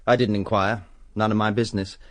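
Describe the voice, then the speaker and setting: A young upper-class gentleman played by a man in a radio drama. He talks fast in a fairly low voice, clipped and matter-of-fact. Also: level tone, lightly acted. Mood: matter-of-fact, weary.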